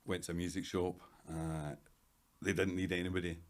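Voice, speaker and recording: A Scottish man in his fifties, a hairdresser guest in a casual interview, talking in a dry, self-deprecating, measured way. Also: low-pitched, little pitch movement. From Scotland. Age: 50s.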